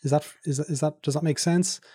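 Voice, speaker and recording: Male podcast host, soft-edged mellow tone, very dry recording, conversational and tentative when asking. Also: on the high side, steady tone, brisk, breathy edge, no room sound. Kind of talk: casual interview conversation.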